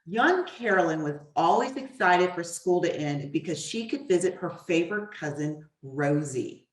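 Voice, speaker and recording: A woman with a slightly low voice reads a picture book to children. She speaks deliberately and expressively, leaving pauses between phrases. The sound is roomy and echoey, picked up at some distance from the mic. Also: lively intonation. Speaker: guest author reading her book. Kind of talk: children's storybook reading. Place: echoey room, away from the mic.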